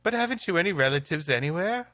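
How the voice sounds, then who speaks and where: Man reading as earnest storybook schoolboy, animated. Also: fairly high pitch, fast, no room sound, faint music behind. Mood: plaintive. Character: earnest young schoolboys in an old English tale.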